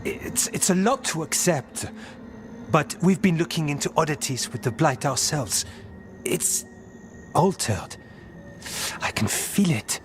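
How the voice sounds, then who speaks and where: An actor playing a cautious, thoughtful male warden in a fantasy video game, earnest and measured. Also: on the high side, slight background noise.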